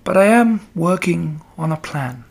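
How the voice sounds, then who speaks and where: A cool, collected mother-like lady spider from a storybook, acted by a man, in a raised, slightly husky pitch. She is comforting and measured, and gently firm. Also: lively intonation, heavily acted. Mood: calm, assured. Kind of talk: children's storybook character voice.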